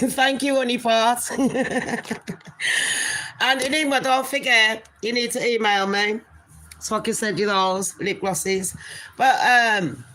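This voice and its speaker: A woman's voice: a little high, fairly even intonation, slow, pauses often, sharp tone, rough recording. A woman with a nasal voice, hosting a casual gossip livestream and chatting in a rambling way to her viewers. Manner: chatty, rambling, calls viewers people and darlings. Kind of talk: casual live chat to viewers.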